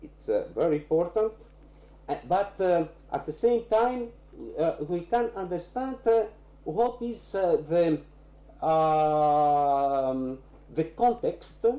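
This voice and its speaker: A man in an echoing room speaks non-native English on an academic panel. He goes slowly, almost word by word, with pitch that moves up and down and a mellow tone. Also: animated. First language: not English. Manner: very halting, word by word. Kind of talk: academic round-table discussion.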